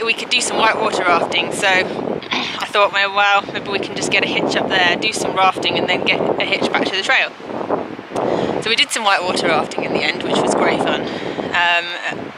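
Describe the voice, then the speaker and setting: Casting: woman, thirties, casual trail vlogger, informal and rambling, heavy wind noise on a handheld camera. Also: mid-range voice. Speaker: hiking vlogger. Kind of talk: casual vlog recap chat. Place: outdoors on a mountain desert trail. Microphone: handheld camera at arm's length. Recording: windy outdoor camera audio, loud noise. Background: loud wind noise.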